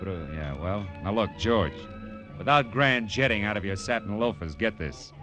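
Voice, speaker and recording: A man's voice: fairly low pitch, expressive, normal speed, fairly bright tone. An American man acting a tough, wisecracking private detective in 1940s radio drama style, brusque, slangy and clipped. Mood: terse, pressing. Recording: old radio broadcast with music behind.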